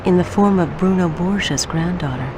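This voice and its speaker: An actor narrating a video game hunt story in a measured, ominous and formal way. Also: medium pitch, close to an everyday voice. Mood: solemn, ominous. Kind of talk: scripted dramatic narration. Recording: noisy video game cutscene audio.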